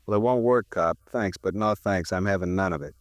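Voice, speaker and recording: Male actor as sarcastic ex-con suspect, fifties radio noir; lowish, dry sound, defiant slangy wise guy. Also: brisk, no echo, lightly acted. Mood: defiant, mocking. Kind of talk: 1950s radio crime drama acting.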